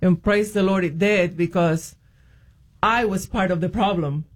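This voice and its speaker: A woman's voice: on the low side, fairly even intonation, a little slow. Hesitant woman guest. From Puerto Rico. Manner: hesitant, many ums and restarts. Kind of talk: interview, telling her faith story.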